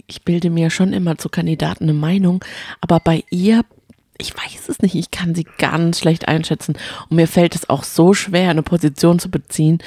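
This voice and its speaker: A woman's voice: lowish voice, dark tone, breathy edge, no room sound, clean studio sound. A woman chatting casually as a podcast co-host, colloquial and opinionated. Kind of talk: casual chat about reality TV.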